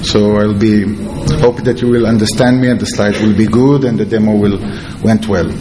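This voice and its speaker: A man with an Israeli accent giving a conference presentation as a security researcher, in halting, non-fluent English. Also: lowish voice, steady tone, poor audio. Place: conference talk before an audience.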